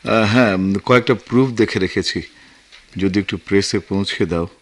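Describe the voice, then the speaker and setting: An actor with a Bangladeshi accent playing an earnest, brooding war-veteran writer in a radio drama, speaking in a reflective, measured way. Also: male voice, lowish voice, lightly acted. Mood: businesslike. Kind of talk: Bengali radio drama acting.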